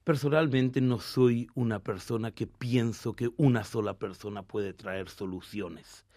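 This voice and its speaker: A man, a rabbi from Argentina with a Mexican Spanish accent, answering interview questions in a measured, explanatory way with some restarts. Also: mid-range voice, darkish tone, no room sound, studio quality.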